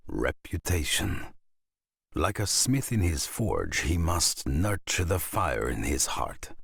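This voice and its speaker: A grave saga storyteller narrates a Viking video game. The voice is extremely deep, gravelly and dry, moving slowly and solemnly through each line. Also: male voice, no room sound, exaggerated voice. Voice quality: gravelly, husky. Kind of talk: dramatic scripted saga narration.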